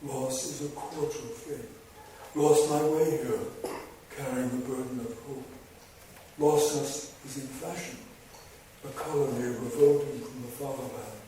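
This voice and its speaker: A man reading his own poems to an audience, with slow, measured delivery and wry asides between poems. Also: mid-range voice, steady tone, dark tone. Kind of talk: poetry reading with spoken asides. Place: large hall before a live audience. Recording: very echoey hall, voice far from mic, background noise.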